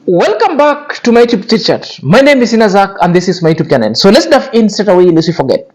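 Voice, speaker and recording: A man's voice: high voice, sing-song, quick pace, a little mellow. Scornful man lecturing. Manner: cutting, repetitive, lecturing. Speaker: YouTube commentary host. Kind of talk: scornful commentary on influencer drama.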